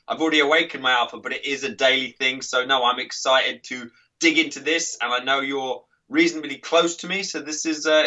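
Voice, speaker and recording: Brief: English man in his thirties, podcast guest, bright sharp tone, a little far from the mic on a dull line. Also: on the high side. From England. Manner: chatty, laughing, rambling with restarts. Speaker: podcast guest, author and coach. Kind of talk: casual interview chat.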